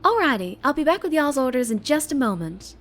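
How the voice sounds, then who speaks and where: Woman voicing a high, chirpy Southern diner waitress. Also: big pitch swings, a little fast, a bit bright, soft background music. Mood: helpful, cheery. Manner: chirpy, eager, friendly service patter. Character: Marianne, sweet chirpy small-town diner waitress. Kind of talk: roleplay ASMR audio drama.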